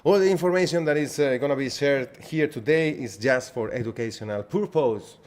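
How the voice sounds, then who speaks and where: A man's voice: mid-range voice, brisk, a little mellow. A man with a Spanish accent, a native Spanish speaker, hosting a live trading webinar in casual chat, greeting viewers by name and often repeating himself. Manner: chatty, greets viewers by name, repeats phrases, restarts sentences.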